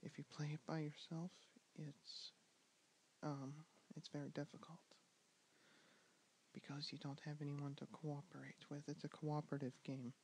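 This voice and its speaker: A young man with a soft, gentle voice teaching a board game in a soft-spoken ASMR style, slipping in and out of whispers and pausing with ums. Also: on the high side, flat delivery, slight background noise, poor audio. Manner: drifting in and out of whisper, hesitant with many ums. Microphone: handheld camera mic.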